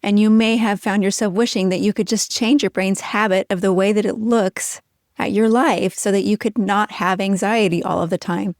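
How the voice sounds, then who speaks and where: A middle-aged woman hosting a podcast, explaining ideas in a clear, measured teaching tone. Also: medium pitch, fairly even intonation, darkish tone, no echo, studio quality. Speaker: podcast host.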